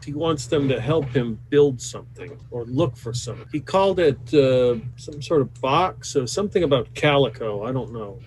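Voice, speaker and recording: A man's voice: medium pitch, lively intonation, moderate pace, few pauses, a little hiss or hum, poor audio. A man narrating as game master in a casual, improvised style.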